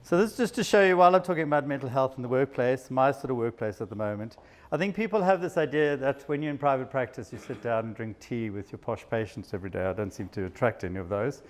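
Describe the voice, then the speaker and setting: A man's voice: mid-range voice, fast. A man from South Africa with a South African accent, a psychiatrist giving a casual, self-deprecating talk to a live audience. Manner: chatty, self-deprecating, with frequent laughs. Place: event room with an audience.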